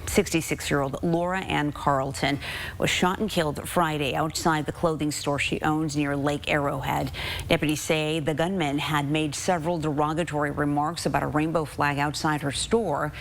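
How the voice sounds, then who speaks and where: An American woman with a notably low voice reads the news from a script as a TV anchor. There is faint noise behind her. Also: fairly even intonation, moderate pace.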